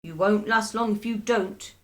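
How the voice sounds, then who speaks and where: Bullying storybook husband, voiced by a woman: spiteful, taunting, slow and emphatic, savoring every threat. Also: mid-range voice, natural voice. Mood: grimly gleeful. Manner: taunting, drawn-out mock solemnity.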